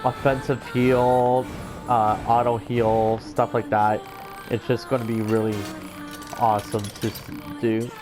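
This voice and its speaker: A man's voice: mid-range voice, little pitch movement, a little slow. A man giving casual game commentary, explaining things in a rambling, hesitant way. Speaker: let's player. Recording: game music behind the voice. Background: video game music and sounds.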